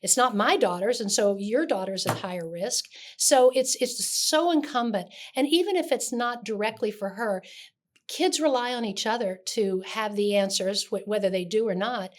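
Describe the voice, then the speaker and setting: Older female guest, earnest and conversational, mid-pitched voice, a shelter leader speaking from conviction. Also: normal speed. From Connecticut, raised in Dallas, Texas. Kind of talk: conversational interview.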